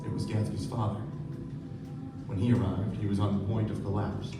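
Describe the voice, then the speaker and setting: A man's voice: lowish voice, flat delivery, normal speed, loud music behind the voice, lightly acted. A man playing an earnest, sombre narrator in a live radio play, delivering scripted literary prose and dialogue. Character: earnest, sombre male narrator and loyal friend. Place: live stage performance. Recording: echoey distant stage.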